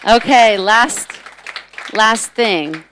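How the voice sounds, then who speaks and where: A woman's voice: fairly high pitch, drawn-out words, fairly bright tone, a faint crowd, slight background noise. A woman who runs children's workshops, speaking earnestly and emphatically on a conference panel. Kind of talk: panel talk. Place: conference stage.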